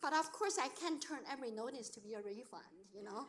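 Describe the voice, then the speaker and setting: A woman's voice: fairly high pitch, fast. A middle-aged woman whose first language is Chinese, telling personal stories in a talk to an audience, acting out what people said in simple, non-native English. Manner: lively storyteller who acts out quoted speech, in non-native grammar. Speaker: accountant and business owner speaking to an audience.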